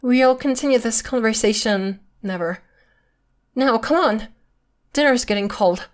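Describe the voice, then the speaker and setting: A woman's voice: a little high, unhurried, close to an everyday voice. A woman playing a possessive girlfriend who is secretly a witch, coy and sweetly menacing, in an ASMR roleplay. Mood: affectionate, possessive. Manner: coy, teasing, veiled threats in a sweet tone.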